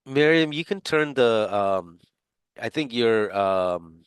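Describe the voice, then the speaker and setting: A man's voice: mid-range voice, expressive, slow pace, smooth, no room sound. A middle-aged man teaching a class over a video call, talking casually with lots of fillers and restarts. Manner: rambling, many fillers and restarts. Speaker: instructor.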